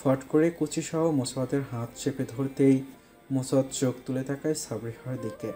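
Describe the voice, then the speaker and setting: Mellow male narrator of a love story. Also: medium pitch, steady tone, moderate pace, faint music behind. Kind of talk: scripted romantic story narration.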